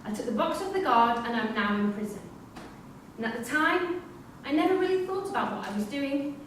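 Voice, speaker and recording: A woman's voice: high-pitched, animated, brisk. A woman playing a firm, sceptical prison course tutor in a staged play reading. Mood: reading aloud. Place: theatre room at a staged reading. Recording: echoey hall, distant mic, hissy ambience.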